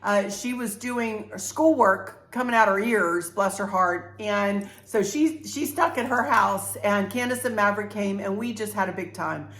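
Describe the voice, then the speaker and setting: Older woman, mid pitch, congested, bubbly direct-to-camera vlog delivery, roomy echo. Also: a little slow, few pauses. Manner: bubbly, talks straight to viewers, warm fillers. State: congested, clearing throat. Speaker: home decor vlogger. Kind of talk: casual chatty vlog. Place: home interior room. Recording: echoey room.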